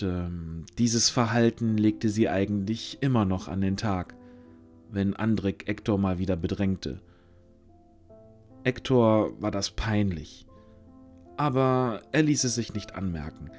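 A man's voice: on the low side, animated, a little slow, darkish tone, faint music behind. A man narrating a scripted fantasy audio drama as its storyteller.

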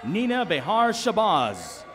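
A man's voice: high-pitched, huge pitch swings, takes it slowly, a bit bright. A man announcing graduates' names one after another at an outdoor commencement. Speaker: commencement name reader. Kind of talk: reading graduates' names at a ceremony.

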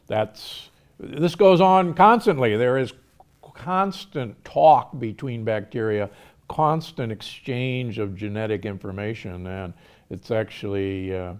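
A man's voice: lowish voice, a little mellow, clear, resonant tone. An older man hosting an interview podcast from a studio set, asking questions and reacting with enthusiastic, reflective asides. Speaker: podcast host, doctor. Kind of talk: conversational interview. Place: studio set with lamp and shelves.

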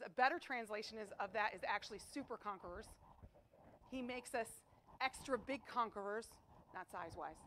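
A middle-aged woman preaching a chapel sermon to students in an auditorium, emphatic and animated, asking rhetorical questions, picked up by a distant camera. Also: on the high side, a little hiss or hum. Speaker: chapel speaker. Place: school chapel auditorium with stage.

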